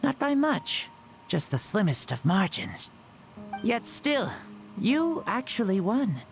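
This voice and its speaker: A man voicing an older, proud and genial warrior spirit in a game cutscene, speaking in a measured, grand way. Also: sits high, sing-song, gravelly, faint music behind, exaggerated voice. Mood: warm. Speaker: game character.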